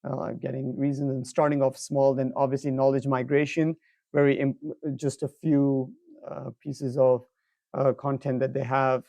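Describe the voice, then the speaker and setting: A man giving an informal product demo as a presenter, explaining things in a halting way with frequent filler pauses. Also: medium pitch, level tone, creaky, warm tone, no echo.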